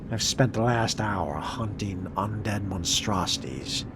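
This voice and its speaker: A man's voice: fairly low pitch, measured pace, heavy background noise. A man speaking a wry, sardonic in-character monologue for a role-playing game, with formal turns of phrase. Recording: loud music and game sound behind.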